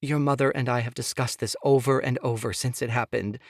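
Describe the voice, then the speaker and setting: A man's voice: medium pitch, steady tone, a little fast, no echo, studio quality, pitched above the performer's own voice, natural voice. A frightened young mother pleading through tears, as a male narrator puts her on. The voice is lifted well above the reader's own and pushed hard with strain. It is a storybook figure, anxious and despairing. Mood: pleading tearful.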